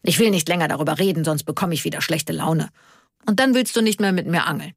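A woman plays a retired policeman, older, stubborn and easily indignant. The voice is husky and sits low for a female reader, in a dry, close audiobook sound. He grumbles and orders impatiently. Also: fast, no room sound. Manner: complaining, impatient, commanding. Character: grumpy, hot-headed retired male police officer, indignant and stubborn. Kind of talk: audiobook character dialogue.

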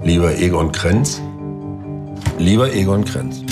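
A man's voice: deep voice, slow. An actor playing an earnest East German man who dreams of traveling, heard in film clips. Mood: formal. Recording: film clip with music underneath.

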